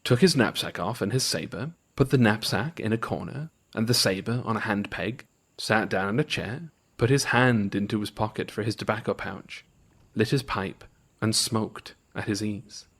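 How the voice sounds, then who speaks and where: A man reading a folktale aloud as a storyteller, with expressive, varied intonation. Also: fairly low pitch, normal speed, breathy edge. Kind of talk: folktale narration, read aloud.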